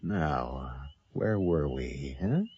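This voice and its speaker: A man's voice: a bass voice, expressive, takes it slowly, a little mellow, breathy edge, full character voice. A man playing a blunt, indignant boyfriend on an interrupted date in an audio drama. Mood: coaxing. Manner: blunt, demanding. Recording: dull lo-fi, faint music behind.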